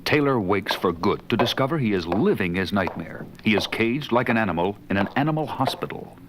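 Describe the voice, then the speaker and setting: An actor playing a grave, suspenseful adventure narrator, portentous and theatrical in scripted storybook style. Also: male voice, fairly low pitch, lively intonation, a little fast, background noise, lightly acted.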